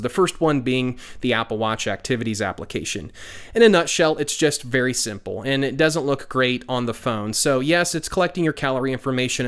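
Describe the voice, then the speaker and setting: Smooth-voiced man explaining fitness apps. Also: mid-range voice. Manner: explanatory, steady, with frequent "uh" fillers. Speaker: tech reviewer. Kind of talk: product review voice-over.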